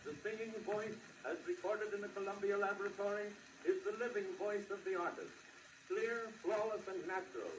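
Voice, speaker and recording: A man's voice: high voice, moderate pace, echoey room, distant mic. Declaiming man on a scratchy old record. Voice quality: declamatory, projecting. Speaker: record announcer. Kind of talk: early 1900s sales announcement. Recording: scratchy acoustic 78rpm record played in a room. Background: hiss and crackle.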